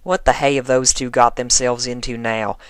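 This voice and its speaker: A man's voice: mid-range voice, level tone, normal speed, smooth, no echo, voice pushed up, natural voice. A man voicing a blunt, folksy young female farmer pony with a plain-spoken drawl. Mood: exasperated.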